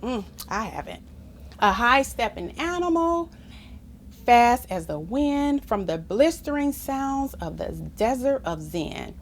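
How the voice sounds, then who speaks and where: Animated, unhurried woman reading a children's book. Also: on the high side, slight background noise. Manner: animated, engaging, asks the listeners questions. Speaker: storytime reader. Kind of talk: expressive read-aloud of a children's picture book with asides to listeners.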